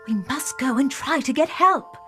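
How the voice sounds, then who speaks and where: A woman voicing a distressed, caring young princess in a storybook fairy tale, pleading and expressive. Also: high voice, moderate pace, soft background music, pitched above the performer's own voice. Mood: worried, decided.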